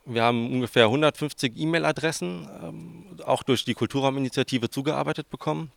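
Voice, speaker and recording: A man's voice: mid-range voice, fairly quick, vocal fry, no echo. A man in his twenties with a southern Hessian German accent, answering interview questions informally and a little hesitantly. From southern Hesse, Germany. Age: 20s. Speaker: interviewee, student researcher.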